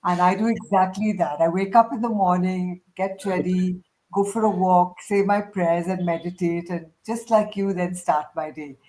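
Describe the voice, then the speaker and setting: A woman's voice: fairly low pitch, fairly even intonation, moderate pace, mellow, poor audio. A woman hosting a casual panel chat, talking in a chatty, encouraging way. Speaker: host of a group chat.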